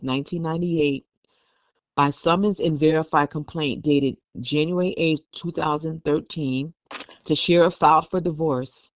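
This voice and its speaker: A man's voice: fairly high pitch, dry recording. A man hosting a podcast, reading documents aloud and giving opinionated, conversational commentary. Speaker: podcast host.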